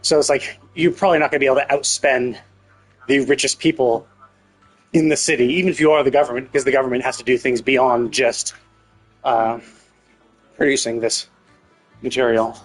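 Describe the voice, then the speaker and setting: A man's voice: mid-range voice, steady tone, fairly quick, pauses often. A man live-streaming a story game, giving casual commentary in a rambling, repetitive, emphatic way. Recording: game music behind the voice. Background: game music.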